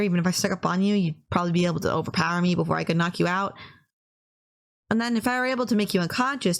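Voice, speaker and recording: A woman's voice: on the low side, natural voice. A woman playing a sweet, polite young yandere who is lovestruck and bashful, in a romantic audio roleplay, earnestly rambling to the listener with small stammers.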